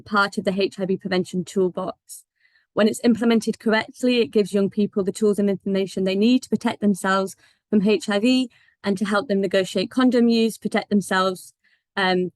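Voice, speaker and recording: A woman's voice: medium pitch, no echo. A woman with a failing voice, giving informal welcome remarks as a senior advisor, with many ums and restarts. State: losing her voice. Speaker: senior advisor at a partner organization. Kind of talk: welcome remarks at an online meeting.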